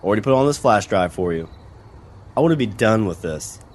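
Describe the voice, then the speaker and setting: A man's voice: on the low side, animated, a little fast, darkish tone. An actor playing a nervous small-town father who stammers and hesitates in an audio drama. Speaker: witness.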